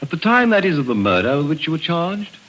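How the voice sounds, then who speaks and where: An actor playing a formal courtroom questioner in a 1940s radio play, clipped and probing. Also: male voice, on the high side, sing-song, fast, a bit bright.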